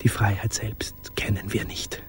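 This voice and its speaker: An actor plays a tender man full of yearning, remembering secret nights in a literary radio drama. His voice is on the low side, measured and lyrical, sinking toward a hush, with prominent music underneath. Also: level tone, in a whisper, close to an everyday voice. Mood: hushed, awed.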